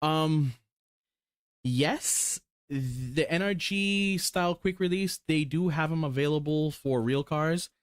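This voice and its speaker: Man, 40s, casual rambling streamer, excitable, salty language, dry close sound. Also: fairly high pitch, slow pace, clean tone, dry recording. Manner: rambling, excited, swears casually, lots of ums. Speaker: sim racing streamer. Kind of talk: casual chat while showing cars.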